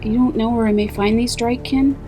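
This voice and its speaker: A woman's voice: fairly high pitch, steady tone, a little fast, music in the background, close to an everyday voice. A woman playing an inquisitive, earnest female adventurer in a fantasy game, asking questions in a plain, direct way. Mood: curious, earnest. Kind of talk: fantasy game character dialogue.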